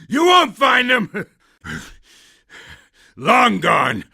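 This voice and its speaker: Shrill, gravelly panicking thug. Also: male voice, bright, forceful tone, heavily acted. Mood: strained defiance. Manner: frantic, pleading, blurting. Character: panicky, defiant, foul-mouthed male criminal thug. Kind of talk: video game voice acting.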